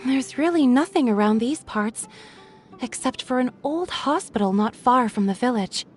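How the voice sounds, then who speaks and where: A woman voices a withdrawn young villager in a video game who speaks softly and hesitantly, always on guard. Her voice sits a little high, with clear game music underneath. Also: animated, normal speed, lightly acted. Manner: soft-spoken, hesitant and guarded. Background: game background music.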